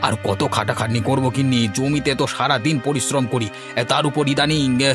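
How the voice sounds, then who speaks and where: A man's voice: medium pitch, steady tone, brisk, music in the background, lightly acted. A man voicing a hard-pressed village farmer husband in a cartoon, grumbling and placating. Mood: protesting. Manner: protesting, placating. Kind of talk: Bengali cartoon dubbing.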